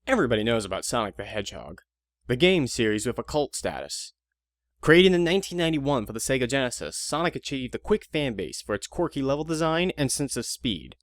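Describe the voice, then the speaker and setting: A man's voice: mid-range voice, sing-song, fairly quick, no echo, clean studio sound. A man narrating a scripted audio drama in an informal, wry, conversational way.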